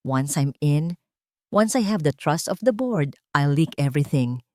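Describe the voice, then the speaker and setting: A calculating, ambitious businessman who is cold, smug and deliberate, with English woven into his lines. A woman reads him, low-pitched, in a dry, close recording. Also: lively intonation, darkish tone, no room sound. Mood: scheming. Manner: coldly scornful, deliberate.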